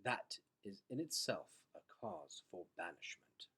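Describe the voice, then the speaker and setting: A large, stern red bat from a fantasy storybook, read by a man. The speech is formal and accusing, with a bright edge and lively pitch. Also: unhurried, husky, full character voice.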